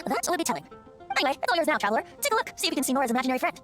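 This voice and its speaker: A woman's voice: high-pitched, very animated, racing, fairly bright tone, soft background music. A woman voicing a playful, youthful male bard, lilting and teasing, in an anime-style video game.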